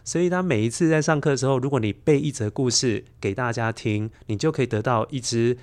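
Man, middle-aged, Taiwanese accent, mid-pitched voice, conversational anecdote telling, podcast guest. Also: brisk, a little mellow. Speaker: podcast storyteller, interview guest. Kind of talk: personal storytelling in a conversational interview.

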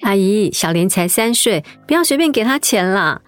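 An actress playing a caring young mother in a radio drama, with a gentle, reassuring way of talking. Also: mid-range voice, lively intonation, moderate pace, no echo, soft background music, lightly acted.

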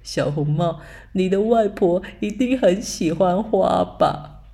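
A woman voicing a sly, hungry male big bad wolf with a gruff, wheedling voice and sneaky chuckles in a bedtime storybook reading. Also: fairly low pitch, takes it slowly, mellow. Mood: coaxing.

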